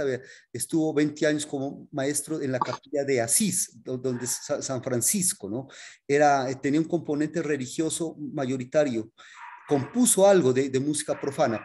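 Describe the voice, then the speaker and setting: A man teaching a music history class in an informal, halting explanatory way, often checking in with his students. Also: on the high side, a little mellow, a little hiss or hum.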